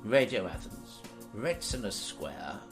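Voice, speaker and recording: A man's voice: fairly low pitch, very animated, slow, creaky. A man announcing scripted closing credits for a radio play. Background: music.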